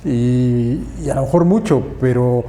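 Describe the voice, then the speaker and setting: Mellow-voiced male podcast host. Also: medium pitch, measured pace, echoey room. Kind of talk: interview conversation.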